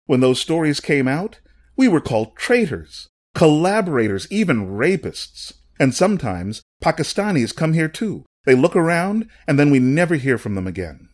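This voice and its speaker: Anguished old camp dweller played by a male narrator, angry and embittered, impassioned, pitched up, animated. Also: extremely slow, few pauses, exaggerated voice. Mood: bitter.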